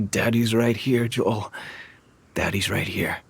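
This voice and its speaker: An actor playing a gentle middle-aged father in a video game, halting, tender and earnest, with a husky voice. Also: fairly low pitch, slight background noise.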